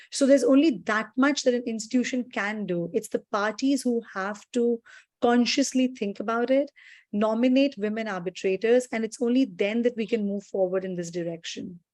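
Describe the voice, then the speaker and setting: A woman's voice: on the high side, normal speed, darkish tone, no echo. A woman, an arbitration registrar, explaining her points earnestly and with careful wording in a panel discussion.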